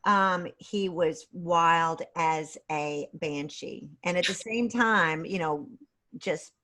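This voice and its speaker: Middle-aged woman, slightly low, halting delivery. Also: pushed and bright. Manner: hesitant, halting, self-interrupting delivery. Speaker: guest author and creative writing teacher. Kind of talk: casual interview chat. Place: remote video call. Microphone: video call.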